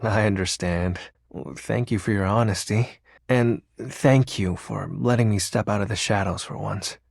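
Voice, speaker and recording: A man's voice: lowish voice, measured pace, creaky, no echo, clean studio sound. A man voicing the personification of darkness in a video game, a shy, sentimental, awkward self-styled shadow lord who speaks hesitantly with bursts of theatrical brooding. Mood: nervous, tender.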